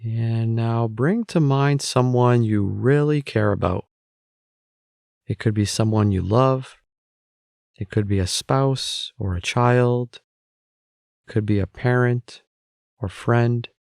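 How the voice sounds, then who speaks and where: A man guiding a meditation with calm, measured, gentle spoken instructions. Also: medium pitch, vocal fry, no room sound, clean studio sound. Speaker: meditation guide.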